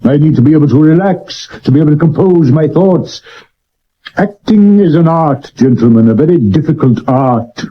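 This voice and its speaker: A man's voice: on the high side, lively intonation, normal speed. A man playing a grand, vain Victorian stage actor in a 1970s radio drama, with a British accent and a theatrical, wry delivery on a dull broadcast recording. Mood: haughty irritation.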